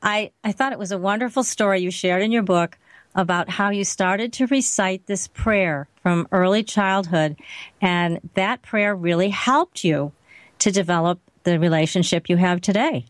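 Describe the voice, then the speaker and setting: A woman's voice: medium pitch, moderate pace, no echo. A woman hosting a radio talk show, bubbly and effusive as she introduces upcoming guests and her guest.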